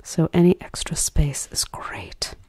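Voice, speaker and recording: A soft-spoken woman hosting an ASMR cooking role play, chatting soothingly as if to a guest. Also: deep voice, animated, a little slow. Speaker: ASMR host.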